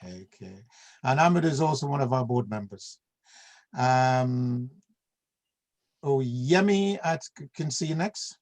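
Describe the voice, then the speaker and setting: A man's voice: mid-range voice, lively intonation, measured pace, long pauses, a little mellow. A man hosting an online meeting over a video call, calling on each person by name in an easygoing way. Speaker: meeting host.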